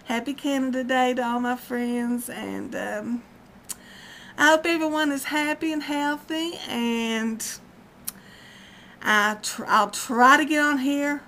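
A woman's voice: high-pitched, takes it slowly, sharp tone, slight background noise. Chatty woman in her fifties. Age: 50s. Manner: rambling, chatty, laughs often. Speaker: vlogger. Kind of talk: casual personal vlog. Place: dim room at home, indoors. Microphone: webcam at close range.

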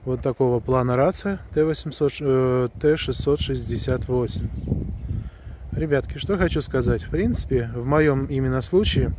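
A man talking casually to viewers while walking outdoors, explaining things with drawn-out hesitations. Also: mid-range voice, noisy background. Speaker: vlogger reviewing a gadget. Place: outdoors on a woodland path, walking. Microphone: handheld camera or phone held close while walking.

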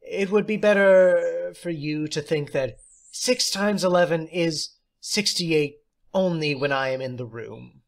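A man's voice: on the high side, a little slow, creaky, pitched above the performer's own voice. A man voicing an eccentric, jolly woman substitute teacher in an audiobook story, precise, theatrical and condescending. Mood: airy, breezy.

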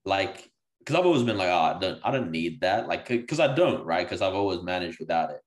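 A man co-hosting a casual podcast over a video call, talking in a relaxed, slangy way. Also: sits low, brisk. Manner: relaxed, slangy, conversational, says "bro" and "like" often. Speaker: podcast co-host. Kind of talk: casual group discussion.

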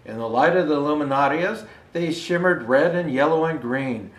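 An older man reading a children's picture book aloud as a storyteller, in an unhurried, expressive way. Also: a little high. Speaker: storyteller dressed as Santa Claus. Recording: roomy indoor echo.

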